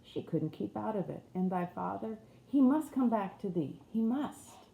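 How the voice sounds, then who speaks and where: A woman voicing a kindly, reassuring storybook country boy with gentle dialect speech. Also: mid-range voice, lively intonation, moderate pace, creaky. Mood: tender assurance. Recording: roomy echo with faint background noise.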